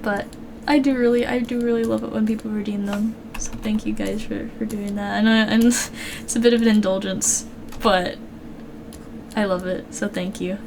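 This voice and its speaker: A woman's voice: medium pitch, fairly even intonation, normal speed, gravelly, a little mellow, a little hiss or hum. Chatty woman streamer, tired and easygoing. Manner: rambling, chatty, many fillers and restarts. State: low-energy, throat a little worn. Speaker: live streamer and artist. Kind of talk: casual live-stream chat while drawing.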